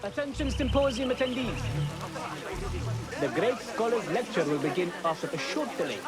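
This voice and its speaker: A man's voice: sits high, very animated, moderate pace, loud music behind the voice. An actor playing a formal, ceremonious male announcer calling out to guests in a video game. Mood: officious. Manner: projected, formal. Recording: loud crowd noise.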